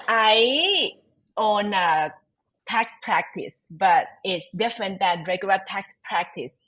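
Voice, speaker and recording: A woman's voice: fairly low pitch, rough recording. A woman from Thailand with a Thai accent, a tax advisor who speaks Thai natively and tells her story in earnest, searching for words in her learned English as a podcast guest. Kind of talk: storytelling in an interview.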